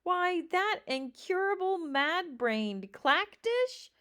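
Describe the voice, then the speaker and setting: A woman voicing a melodramatic storybook father who exclaims in a grand, drawn-out way. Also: extremely high pitch, very animated, fairly bright tone, voice pushed up, heavily acted. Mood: indignant. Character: melodramatic father spouting old-fashioned theatrical insults.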